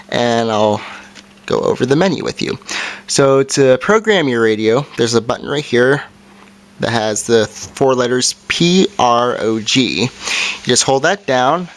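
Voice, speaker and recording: A man giving an informal product review, explaining things plainly step by step as an amateur video reviewer. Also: medium pitch. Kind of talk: casual product review and demonstration.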